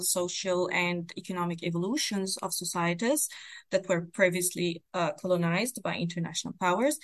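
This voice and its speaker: A young woman from Egypt giving an academic explanation in a podcast, with frequent ums and uhs. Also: on the low side. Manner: thoughtful, many ums and uhs. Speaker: podcast participant. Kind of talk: academic discussion.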